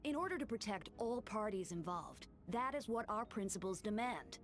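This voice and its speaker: Female game official, played by an actress: mid-range voice, composed, measured, formal delivery. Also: mid-range voice, lively intonation, faint music behind, lightly acted. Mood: solemn, judicial. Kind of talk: video game voice acting.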